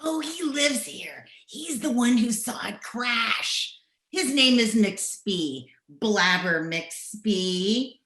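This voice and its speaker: Woman playing a slow, echoey, gossipy townsperson. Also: on the high side, expressive, a bit bright, exaggerated voice. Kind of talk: old-time radio comedy acting. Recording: roomy, distant home microphone.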